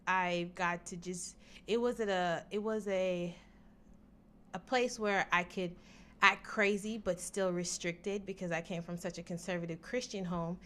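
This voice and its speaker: Low-voiced woman, easygoing. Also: steady tone, unhurried, a bit bright. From Jamaica. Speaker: interview guest. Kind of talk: casual interview answers. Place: studio.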